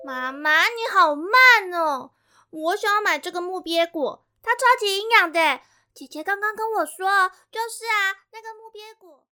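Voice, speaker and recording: A woman's voice: very high-pitched, animated, moderate pace, bright, forceful tone, heavily acted. A woman playing an eager, proud fourth-grade girl in an amateur radio drama, chatty and childlike. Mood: excited.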